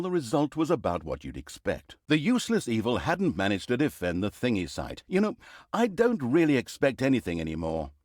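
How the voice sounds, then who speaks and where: Sardonic, wildly expressive fantasy game narrator. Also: male voice, medium pitch, no room sound, studio quality. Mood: scornful sarcasm. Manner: arch, dryly sarcastic, dramatic emphasis. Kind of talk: theatrical scripted storybook narration.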